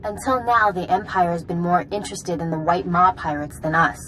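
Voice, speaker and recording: Woman playing a resolute low-pitched knight commander. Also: steady tone, fairly bright tone, slight background noise, natural voice, low-quality recording. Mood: resolute, urgent. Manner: brisk, earnest, authoritative briefing. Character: resolute female Jedi Knight, military commander. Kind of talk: video game dialogue acting.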